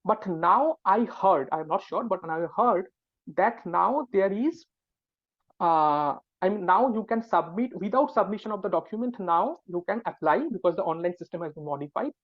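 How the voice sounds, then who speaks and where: A man's voice: high voice, lively intonation, moderate pace, no room sound. A man, an academic researcher on a webinar panel, explaining a topic step by step with frequent hesitations. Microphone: video call. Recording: dull, dry video call audio.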